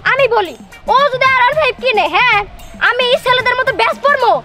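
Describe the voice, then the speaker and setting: Scornful young woman: piercingly high pitched-up voice, brisk, rural Bangladeshi, loud music behind. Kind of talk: cartoon comedy dialogue.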